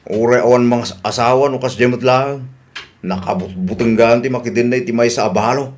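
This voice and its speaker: A mid-pitched actor playing a stubborn husband in a radio drama, indignant and Ilocano-accented. His lines are those of a man who will not be blamed. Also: moderate pace, close to an everyday voice. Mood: aggrieved.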